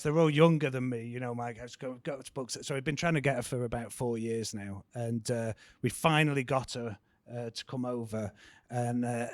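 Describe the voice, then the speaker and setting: Guy on a panel rambling about gigs he books, lots of uh and restarts, normal pitch. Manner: rambling, many fillers and restarts. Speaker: panelist, music promoter. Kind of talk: casual panel talk. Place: panel event in a city venue.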